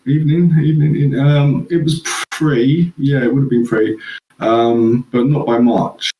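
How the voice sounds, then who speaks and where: A man's voice: medium pitch, fairly even intonation, unhurried, reverberant, dull audio. A man from England, a guest, chatting casually and rambling through his childhood stories. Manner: rambling, laughs at own stories. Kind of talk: casual chat.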